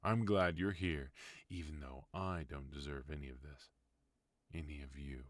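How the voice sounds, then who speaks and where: A young man playing a weary, devoted mafia boss husband in an ASMR roleplay, soft-spoken and teasing, on a close mic. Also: extremely low pitch, steady tone, moderate pace, dry recording. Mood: tender.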